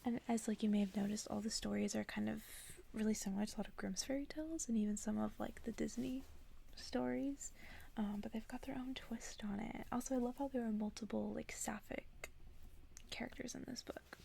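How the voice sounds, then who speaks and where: Creaky, whispering ASMR woman. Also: fairly high pitch, steady tone, a little fast, slight background noise. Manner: whispering, soft-spoken. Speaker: ASMR host reading stories aloud. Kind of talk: ASMR bedtime story reading. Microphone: close ASMR microphone.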